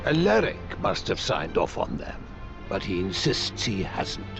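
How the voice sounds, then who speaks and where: An actor playing a shrewd, blunt archmaester in a video game, dry and knowing, with a gravelly voice. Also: male voice, deep voice, huge pitch swings, full character voice. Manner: dry, knowing, deliberate phrasing. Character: shrewd, blunt male archmaester, worldly scholar. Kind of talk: video game cutscene acting. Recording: dull muffled audio under clear music.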